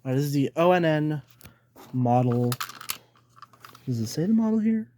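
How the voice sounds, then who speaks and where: A man's voice: fairly high pitch, animated, normal speed, mellow, a little hiss or hum. A man chatting casually as he hosts a hobby video, informal and hesitant, thinking aloud. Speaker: hobbyist video host showing a radio collection. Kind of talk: casual vlog chat.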